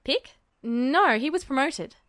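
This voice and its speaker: A woman's voice: sits high, expressive, slow, fairly bright tone, no room sound, studio quality, heavily acted. A woman voicing a brash, irritable young man in story dialogue, casual and blunt.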